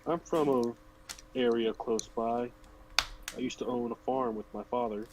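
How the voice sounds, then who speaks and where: A man plays an earnest middle-aged farmer with a plain, folksy way of talking and a medium-pitched voice. He is a tabletop game character, recorded with clear background noise and small clicks. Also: fairly even intonation, a little slow, natural voice. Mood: earnest, reflective. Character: earnest 47-year-old farmer chasing late dreams.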